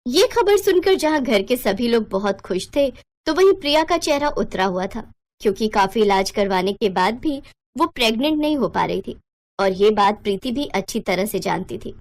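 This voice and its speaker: High-voiced woman narrating a story. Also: animated, normal speed.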